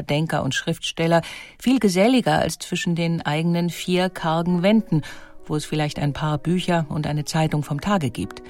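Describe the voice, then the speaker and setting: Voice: mid-range voice, darkish tone. A narrator reading a scripted documentary about history and art.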